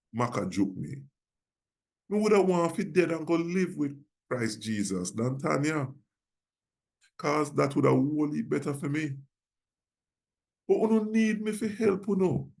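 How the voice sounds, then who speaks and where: A man's voice: fairly high pitch, vocal fry, darkish tone. A reverend with a Jamaican accent, a man reading scripture aloud in Patois. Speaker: reverend, guest scripture reader.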